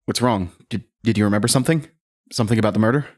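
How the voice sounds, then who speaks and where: Guy acting as a mild handler checking in, low voice, careful and solicitous, radio drama. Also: rapid-fire, a little mellow, natural voice. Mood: concerned. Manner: solicitous, questioning. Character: concerned male handler, mild and patient, gently prodding.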